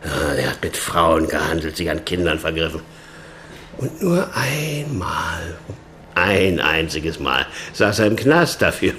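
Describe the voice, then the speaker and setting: Sardonic low-voiced police chief. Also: male voice, very animated, measured pace, slight background noise, full character voice. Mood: cynical, disgusted. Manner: sardonic, emphatic, swinging from muttered to loud. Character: cynical, blunt police chief, mocking and weary. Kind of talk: German radio crime drama acting.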